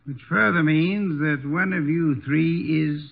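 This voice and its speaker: An actor playing an imperious, testy armchair detective in a 1950s American radio drama, speaking formally and pompously with precise diction. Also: male voice, on the high side, slow pace, gravelly, full character voice. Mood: commanding. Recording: dull lo-fi vintage radio recording.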